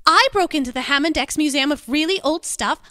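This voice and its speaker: Woman acting a gloating, high-voiced lady cat burglar. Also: fairly quick, bright, forceful tone, dry recording, clean studio sound. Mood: indignant boasting. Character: gloating, playful female cat-burglar villain, self-styled Queen of Thieves. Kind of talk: comic detective audio drama acting.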